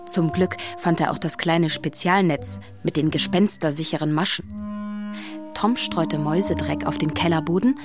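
A woman's voice: low-pitched, normal speed, music in the background, close to an everyday voice. A woman narrating a scripted children's audio drama as storyteller.

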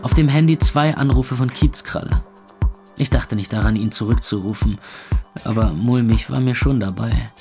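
Actor as a dry, gravelly young male narrator. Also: medium pitch, moderate pace, darkish tone, soft background music, lightly acted. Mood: wry, uneasy. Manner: dry, laconic. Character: wry, gloomy young male club owner narrating his life. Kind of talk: first-person radio play narration.

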